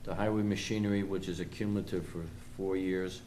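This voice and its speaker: A man explaining a financial report item by item at a public meeting, in a halting way, as a town finance official. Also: low voice, flat delivery, warm tone. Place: town board meeting room. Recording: noisy public meeting room recording.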